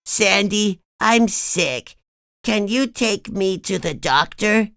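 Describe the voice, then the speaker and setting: Woman voicing sick cartoon sponge boy: very high, nasal, stuffy, slow delivery, dry read. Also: lively intonation, vocal fry, fairly bright tone, no room sound, exaggerated voice. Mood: sick, pleading. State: sniffly with a cold. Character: cheerful young male sea sponge, eager. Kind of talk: storybook read-aloud.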